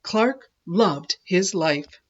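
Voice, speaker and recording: Woman reading a kids book out loud, slow n super expressive, leans on key words, bit muffled. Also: lowish voice, husky. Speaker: storyteller. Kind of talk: expressive children's read-aloud narration.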